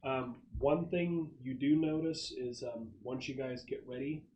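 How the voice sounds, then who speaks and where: A man's voice: medium pitch, a little slow, a little mellow, highs cut off. A man explaining a tabletop game casually as game master, with hesitant, repeated words. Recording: clear background noise, clicks.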